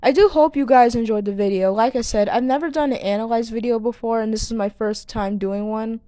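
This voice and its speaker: A woman's voice: fairly high pitch, a little fast, mellow, no echo. A woman giving video essay commentary to viewers, speaking earnestly and now and then stumbling over words. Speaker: video creator and host.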